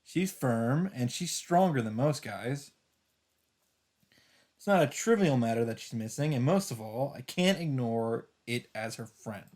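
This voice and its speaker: A man's voice: mid-range voice, expressive, a little slow, a little mellow. A man hosting a let's play, reading visual novel narration aloud and adding dramatic asides as he reacts.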